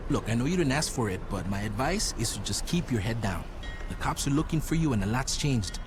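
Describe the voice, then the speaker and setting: An actor playing an eager, loyal young convict in a video game cutscene, with a streetwise, persuasive manner. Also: male voice, mid-range voice, lively intonation, brisk, music in the background, natural voice. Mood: matter-of-fact.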